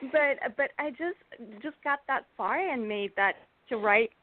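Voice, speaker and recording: A woman's voice: fairly high pitch, animated, takes it slowly, no room sound. A woman from Bulgaria, a native Bulgarian speaker, chatting as a guest author in an interview, laughing often and filling gaps with ums. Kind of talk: casual interview chat. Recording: dry tinny phone-like line.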